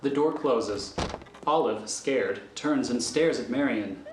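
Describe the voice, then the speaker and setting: A man's voice: medium pitch, takes it slowly, noisy background. A man reading screenplay stage directions as the narrator of an audio thriller. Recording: roomy echo with music underneath.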